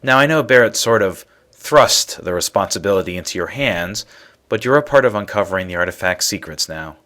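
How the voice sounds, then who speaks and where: An actor playing an assured man in a space adventure video game, with an animated, forceful way of talking. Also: fairly low pitch, a little fast. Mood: confident, persuasive. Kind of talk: video game character dialogue.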